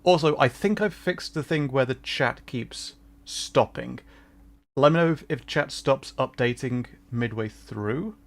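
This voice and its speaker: A man's voice: medium pitch, animated, a little slow. A man streaming a game, chatting casually, rambling and apologetic about technical setup. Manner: rambling, self-deprecating, apologetic. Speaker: VTuber streamer. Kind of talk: casual livestream chat. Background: background music.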